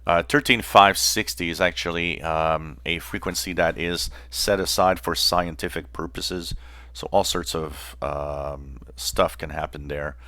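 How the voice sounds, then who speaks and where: A man hosting a hobby channel, explaining informally in a halting way with pauses mid-phrase. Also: low-pitched, steady tone, bright, forceful tone, no echo. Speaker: host of a shortwave radio channel.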